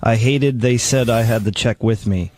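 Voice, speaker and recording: A man on a radio show casually reading messages out loud. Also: mid-range voice, normal speed.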